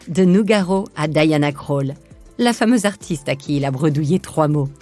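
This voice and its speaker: A woman's voice: low-pitched, darkish tone. A woman narrating a scripted biographical podcast.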